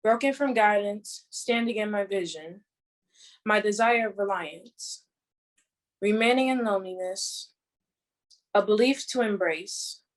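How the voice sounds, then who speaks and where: A woman's voice: medium pitch, a little slow, pauses often, poor audio. A young woman reading her own poem in a formal recital. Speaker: poet reading own work.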